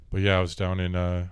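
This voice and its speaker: A young man with a deep voice, a podcast host telling a rambling story in casual chat and laughing often. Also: smooth, no room sound.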